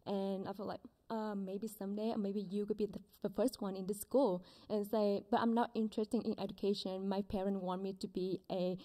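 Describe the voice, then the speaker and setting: A woman's voice: medium pitch, level tone, mellow. Earnest young woman, Vietnamese native speaker. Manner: earnest, halting, many ums. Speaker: public commenter, school aide. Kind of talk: public comment at a meeting. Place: school board meeting room. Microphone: desk microphone.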